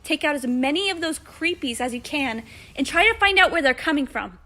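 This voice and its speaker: A woman voicing a take-charge teenage superhero girl in an audio drama, commanding and energetic. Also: sits high, brisk. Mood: urgent, commanding. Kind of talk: fan audio drama acting.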